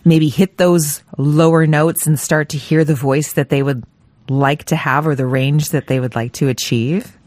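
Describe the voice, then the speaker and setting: A woman hosting an interview, her voice low and dry and close to the mic. She asks her questions in a measured, curious way. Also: steady tone, a little mellow, no echo. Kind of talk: interview host, asking questions.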